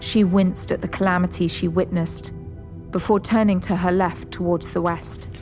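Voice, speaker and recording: A woman's voice: on the low side, fairly even intonation, moderate pace, darkish tone. A woman giving scripted dramatic narration for an audio drama story. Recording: prominent music bed behind.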